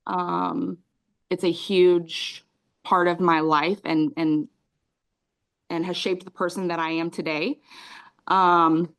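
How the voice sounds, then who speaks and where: A woman's voice: fairly low pitch, level tone, slow, vocal fry, long pauses. A woman in her thirties, a podcast guest, telling her life story in casual chat, with many ums and restarts. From Raleigh, North Carolina; raised in Cambodia.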